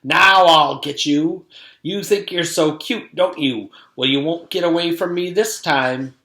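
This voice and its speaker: A man voicing a menacing female teacher villain in a storybook, loud and taunting with gloating threats. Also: on the high side, a little slow, voice pushed up. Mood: gloating, threatening. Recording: echoey room.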